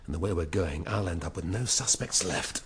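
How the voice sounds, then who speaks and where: An actor playing an ageing, sardonic police detective in a radio drama, speaking in a dry, weary, impatient manner. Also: male voice, low-pitched, brisk, slight background noise, lightly acted. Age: middle-aged. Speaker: detective inspector.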